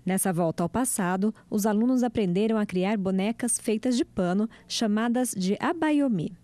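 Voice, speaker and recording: A woman reporter narrating a local TV news feature in a measured, clear way. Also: medium pitch, mellow, no echo.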